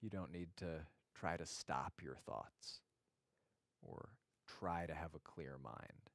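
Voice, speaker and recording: A man with a very low voice and plenty of vocal fry guides a meditation in a conversational way. His manner is gentle and reflective, with halting phrases and long pauses. The sound is dry, with hardly any room to it. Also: no room sound.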